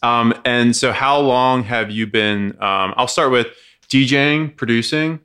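A man's voice: mid-range voice, measured pace, sharp tone, clear, resonant tone. A man hosting a casual podcast interview, laid-back and easygoing, with lots of fillers and easy laughs. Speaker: podcast host.

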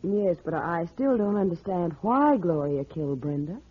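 A woman's voice: fairly low pitch, expressive, moderate pace, breathy edge. An actress playing a detective's inquisitive, teasing female companion in an old-time radio drama. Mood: curious, teasing. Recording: dull, lo-fi vintage radio recording.

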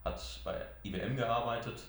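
A middle-aged man from Germany giving halting, reflective, conversational interview answers. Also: mid-range voice, gravelly. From Germany, near Mainz. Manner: halting, reflective, with frequent hesitations. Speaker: interviewee, member of parliament and IT entrepreneur. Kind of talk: conversational interview answers, recounting own career. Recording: very echoey, reverberant room.

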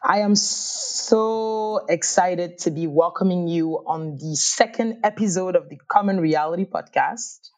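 A woman's voice: lowish voice, a little slow, few pauses, darkish tone. A woman from Africa hosting a podcast interview over a video call, talking in an eager, effusive way with many restarts. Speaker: podcast host.

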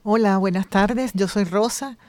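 A woman's voice: mid-range voice, unhurried, a little mellow, dry recording. Venezuelan woman guest, hopeful, mid voice, dry sound. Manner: upbeat, hopeful. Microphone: studio microphone.

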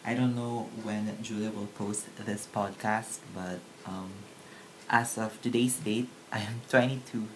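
A man's voice: fairly low pitch, a little slow, rough recording. Twenties Filipino guy guesting on a podcast, easygoing and hesitant, faint noise. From Philippines. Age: 20s. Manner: relaxed, hesitant with many ums, switches into Tagalog. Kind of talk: casual podcast chat.

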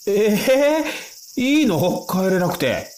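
A man's voice: sits high, big pitch swings, very slow, darkish tone, slight background noise, exaggerated voice. An actor in an audio drama playing a mild-mannered ordinary man, bewildered and hesitant, speaking in questions. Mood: puzzled, concerned.

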